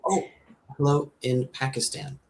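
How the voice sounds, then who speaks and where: An upbeat man from America hosts a live teacher webinar in a mid-range voice, chatty and quick to repeat himself. Faint background noise sits under his video-call audio. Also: mid-range voice, completely flat delivery, low-quality recording. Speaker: host, ESL teacher and music producer.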